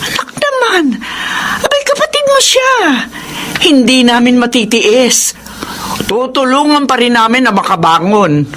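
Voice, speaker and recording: A soft-hearted, devout older Filipino parent in a radio drama. The high voice rises and falls earnestly while admonishing, with clear background noise. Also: male voice, very animated, a little slow, exaggerated voice. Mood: pleading, forgiving. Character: gentle, pious, forgiving older parent of grown children.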